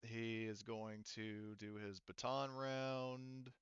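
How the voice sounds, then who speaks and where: A man's voice: mid-range voice, flat delivery, slow pace, lo-fi sound, slight background noise. A man acting as game master, casually narrating a tabletop game and explaining rules while thinking aloud.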